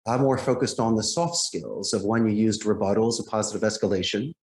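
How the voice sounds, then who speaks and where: A man with a fairly low voice explains his methods as an interview guest, in articulate, salesmanlike phrases. The recording is roomy, with clear echo around his words. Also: a little mellow. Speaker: podcast guest, call center owner and trainer. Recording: roomy, echoing sound.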